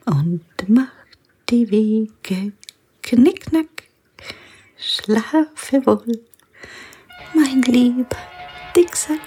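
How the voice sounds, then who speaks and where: An actress playing a poor, earthy young mother, restless and defiant, in a radio play with drawn-out, half-sung murmuring. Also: a little high, expressive, dark tone, slightly rough, full character voice. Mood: anxious. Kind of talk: German-language radio play acting. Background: faint music.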